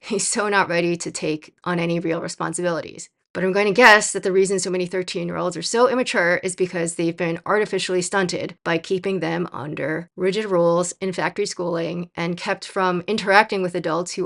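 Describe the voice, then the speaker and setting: A woman's voice: lowish voice, steady tone, a little fast, vocal fry, hardly any pauses, a bit bright. A woman from the United States, a young adult, delivering a scripted commentary video in an opinionated, lightly sarcastic manner. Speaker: video essayist.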